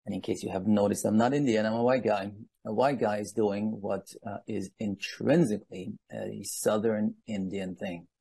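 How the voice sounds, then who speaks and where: Deep-voiced, gravelly man telling his story. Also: fairly even intonation, normal speed, hardly any pauses, dark tone, dry recording. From Iran. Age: 50s. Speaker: guest speaker on palm leaf reading. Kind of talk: personal storytelling and explanation.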